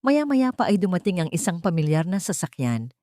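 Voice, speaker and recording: Female narrator, low register, dry close sound, steady read for an audio drama story. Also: fairly quick, no room sound.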